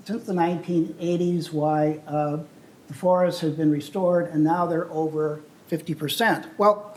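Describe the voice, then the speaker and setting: A man's voice: high-pitched, darkish tone. Older American man giving a slide talk. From United States. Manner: earnest, explanatory, frequent "uh" and restarts. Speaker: presenter on travel and sustainability.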